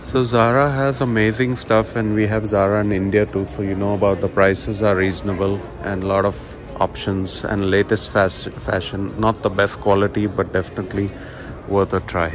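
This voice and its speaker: A man giving relaxed, chatty commentary while walking through a large indoor shopping mall, filming on a handheld phone. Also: lowish voice, normal speed, over music. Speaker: vlogger. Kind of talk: casual walking vlog narration. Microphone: handheld phone camera.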